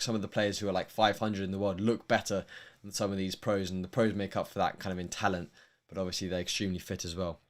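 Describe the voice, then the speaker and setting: A young man with a posh London accent hosts a tennis livestream, speaking in a fairly low voice. He talks casually and rambles, restarting sentences and filling gaps with ums. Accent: posh London English. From England. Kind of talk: casual livestream chat answering viewer questions.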